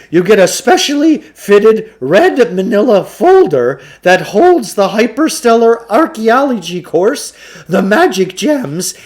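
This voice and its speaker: A man's voice: high-pitched, darkish tone, pitched above the performer's own voice, full character voice. A man voicing a chatty, folksy shopkeeper huckster in a storybook reading, with eager, exclamatory sales patter. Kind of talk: children's storybook character voice.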